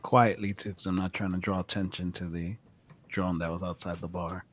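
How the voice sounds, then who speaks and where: Deep-voiced man playing a tabletop game. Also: flat delivery, normal speed, slight background noise. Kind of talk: casual first-person description of game moves.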